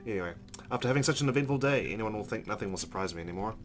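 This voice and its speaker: A man with a lowish voice hosts a let's play. He reads the game's narration aloud and drops in casual asides, with faint music underneath. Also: lively intonation, rapid-fire.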